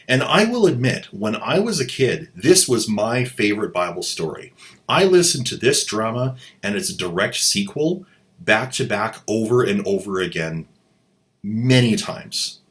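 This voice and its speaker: Deep-voiced sardonic male host. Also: fairly bright tone. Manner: sardonic, mocking, playful asides. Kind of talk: sarcastic commentary.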